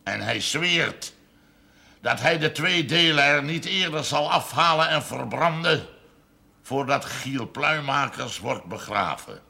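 A man playing a village parish priest in a television drama, gravelly and husky, telling stories slowly and emphatically. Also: mid-range voice, animated, pushed and bright, full character voice. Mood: grim, solemn. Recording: 1970s television drama soundtrack.

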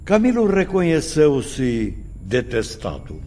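A man's voice: mid-range voice, huge pitch swings, slow, mellow, slight background noise. An actor playing a grave spiritual master who teaches his pupils with solemn, didactic delivery in a radio drama. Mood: solemn, admonishing. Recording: dramatic music underneath.

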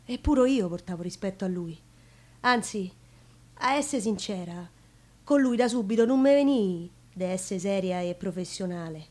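A woman's voice: mid-range voice, animated, normal speed, darkish tone, lightly acted. An actress playing a proud, nostalgic prostitute with a Roman accent, confiding her story in an earthy theatrical monologue. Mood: wistful, tender. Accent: Roman Italian.

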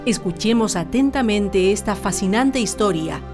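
A woman's voice: mid-range voice, animated, moderate pace. A woman narrating a radio drama in a scripted, solemn, theatrical style. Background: prominent music.

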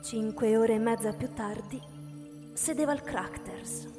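A woman's voice: fairly high pitch, unhurried. A woman narrator reading a scripted dramatic story for radio. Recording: reverberant hall, music behind. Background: music.

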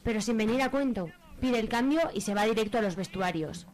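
A woman's voice: a little high, brisk, a little mellow. A woman with an accent from Spain giving wry scripted narration for a radio soap. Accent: Spanish from Spain.

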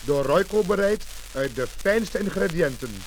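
High-pitched male commercial announcer. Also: animated, measured pace, low-quality recording. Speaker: advertising announcer. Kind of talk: scripted radio commercial narration. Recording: old lacquer test disc, surface hiss.

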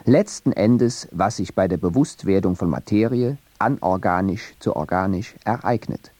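A man reading a scripted radio essay on poetry in a measured, deliberate way. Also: low-pitched, darkish tone, dry recording. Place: radio studio.

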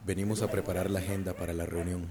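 An actor playing a kindly, generous village shopkeeper in a radio drama. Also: male voice, low voice, flat delivery, fast talker, a crowd in the background. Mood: businesslike.

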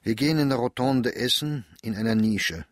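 A man reading diary entries aloud for a radio drama in a measured, reflective, understated way. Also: medium pitch, no room sound, clean studio sound.

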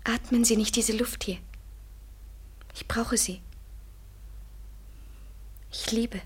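An actress in a German radio play as a young woman consumed by desperate love, speaking slowly and intensely in a breathy near-whisper. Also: on the high side, fairly even intonation, heavily acted. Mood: feverish, pleading. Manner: slow, halting, intense, poetic delivery. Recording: 1970s radio drama studio recording.

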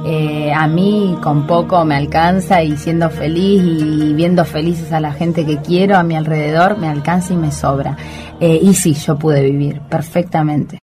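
Low-voiced woman speaking hesitantly. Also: fairly even intonation, over music. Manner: hesitant, many "eh" pauses. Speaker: interviewee. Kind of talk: personal testimony.

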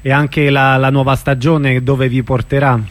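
A man's voice: mid-range voice, flat delivery, a little slow, no echo. A man hosting an informal radio interview, asking questions in a conversational way.

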